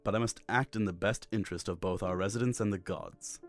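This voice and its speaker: A man playing a cold, commanding high priest in a fantasy visual novel, formal and deliberate, over music. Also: natural voice. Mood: stern judgment. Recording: music under the voice.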